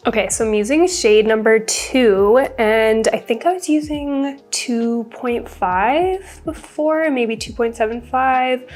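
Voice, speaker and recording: A woman's voice: fairly high pitch, slow, hardly any pauses, darkish tone, soft background music. A young woman with a congested voice, chatting casually through a makeup routine as a beauty vlogger. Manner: chatty, upbeat, rambling product talk. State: congested from a cold. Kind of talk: casual get-ready-with-me chat.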